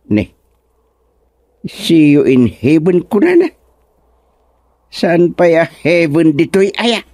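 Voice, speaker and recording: A man's voice: a little high, big pitch swings, slow, mellow. An actor playing a stubborn, bewildered former village chairman in a comedic radio drama, muttering and exclaiming to himself. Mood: puzzled muttering.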